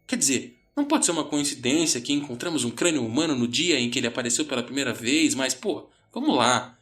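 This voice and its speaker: A man's voice: mid-range voice, animated, quick pace, fairly bright tone, natural voice. A man voicing a panicked, exasperated sixteen-year-old boy in a horror audio story, stammering and ranting. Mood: frantic.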